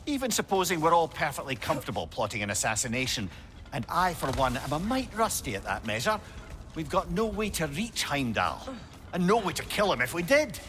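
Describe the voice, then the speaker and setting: An actor playing a witty, avuncular old sage in a video game, speaking with a Scottish accent in a wry, counselling, conversational way. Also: male voice, fairly high pitch, sing-song, fairly quick, fairly bright tone, slight background noise. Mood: wry caution. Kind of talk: video game dramatic acting.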